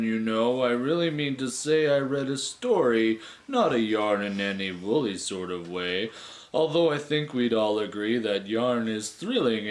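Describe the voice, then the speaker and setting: A tedious, pedantic bore of a man, acted out by a male reader in a comic verse monologue. He rambles through one digression after another at an unhurried pace, his pitch wandering up and down as he drones on. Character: tedious, rambling male bore telling endless dull anecdotes.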